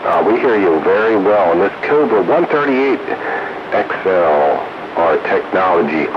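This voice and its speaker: A man's voice: low-pitched, animated, a little slow. A man chatting casually as a regular on a CB radio roundup, heard through a radio transmission. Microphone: CB radio microphone. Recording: noisy, muffled CB radio transmission.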